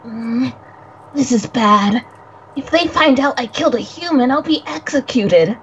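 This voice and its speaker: A woman's voice: high voice, expressive, measured pace, dull audio, slight background noise. A woman voicing a nervous young boy spirit who stammers and gives himself away. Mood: flustered. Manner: stammering, flustered, self-incriminating. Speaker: guest voice actor on a game stream.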